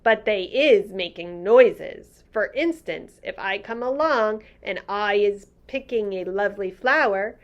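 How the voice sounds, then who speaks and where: A woman's voice: a little high, natural voice. A woman voicing a big friendly old male giant who talks earnestly in muddled grammar.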